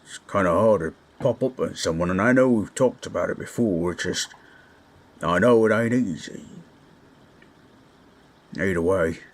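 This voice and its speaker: A man voicing a kindly, bashful orc companion in a roleplay audio drama, talking in a halting, folksy, gently awkward way. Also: sits low. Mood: soft, reassuring. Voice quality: husky.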